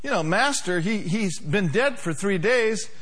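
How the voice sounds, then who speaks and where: A man's voice: sits high, expressive, measured pace, fairly bright tone. A male pastor preaching a sermon to a congregation, emphatic, with call-and-response.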